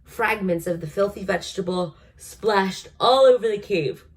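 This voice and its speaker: A woman reading a children's book aloud as an expressive storyteller. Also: medium pitch, moderate pace.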